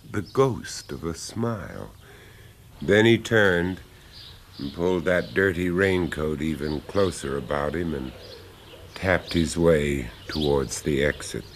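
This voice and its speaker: An uneasy passenger recounting a macabre meeting in a radio drama. His low voice moves slowly with measured, hesitant pauses, over faint background noise.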